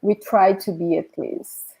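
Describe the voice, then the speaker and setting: A woman's voice: mid-range voice, fairly even intonation, slow, creaky, mellow, slightly rough, low-quality recording. A Brazilian woman with a Brazilian accent, a native Portuguese speaker, teaching an informal live online language lesson.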